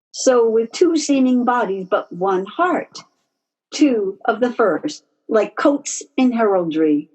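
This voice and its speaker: Wronged young gentlewoman woman reader, Shakespeare table read: pleading, theatrical, unhurried, a bit breathy, muffled lo-fi call sound. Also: fairly high pitch, exaggerated voice. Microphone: video call. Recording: dull, lo-fi video call.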